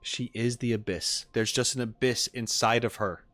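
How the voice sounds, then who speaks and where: A man's voice: medium pitch, fairly even intonation, moderate pace, a bit bright, no room sound. Man, reaction streamer, joking, informal, close dry mic, faint music behind. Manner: joking, mock-indignant, informal. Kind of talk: casual reaction commentary.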